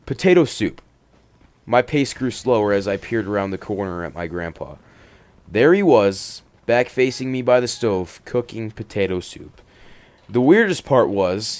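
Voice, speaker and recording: A man hosting and reading horror stories in an expressive, dramatic way, moving between hushed and emphatic delivery. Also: medium pitch, no echo. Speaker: horror story reader and host. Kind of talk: dramatic first-person horror narration with casual commentary.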